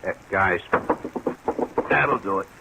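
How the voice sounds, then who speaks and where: A man's voice: sits low, slow, vocal fry, exaggerated voice. A man playing a sullen, blunt hoodlum with terse speech in a 1950s radio drama. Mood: menacing. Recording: very noisy, crackly old radio recording.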